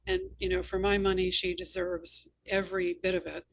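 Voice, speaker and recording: A woman's voice: on the low side, little pitch movement, moderate pace, rough recording. A woman hosting an interview, telling anecdotes in an earnest, emphatic conversational way. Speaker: host and novelist.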